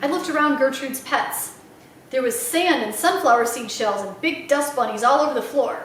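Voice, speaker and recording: A woman reading a children's book aloud as an expressive storyteller. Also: sits high, normal speed, distant mic, a little hiss or hum. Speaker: library read-aloud storyteller. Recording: very echoey room, away from mic.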